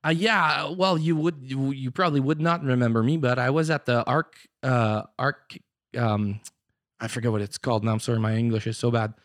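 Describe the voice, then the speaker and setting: A man playing a made-up pastor on a prank phone call, talking haltingly with lots of fillers and restarts. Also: mid-range voice, steady tone, a bit bright, no room sound, clean studio sound, natural voice. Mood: earnest. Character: fake French-Canadian pastor making a prank phone call.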